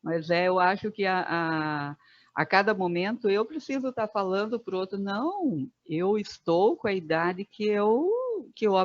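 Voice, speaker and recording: A woman in her fifties talks in an unhurried, reflective way, pausing often and filling the gaps with small hesitations. The sound is dry and close, with a slightly muffled, dull tone. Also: mid-range voice, no room sound. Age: 50s. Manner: thoughtful, many fillers and pauses. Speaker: guest, Biodanza facilitator. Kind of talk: reflective podcast conversation.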